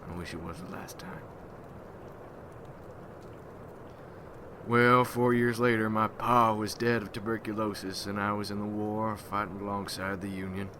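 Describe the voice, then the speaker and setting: A man playing a weary, haunted rural Civil War veteran turned vampire hunter, telling his story slowly and haltingly in an ASMR roleplay. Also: lowish voice, fairly even intonation, background noise, close to an everyday voice. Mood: somber. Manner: slow, halting, somber folksy storytelling.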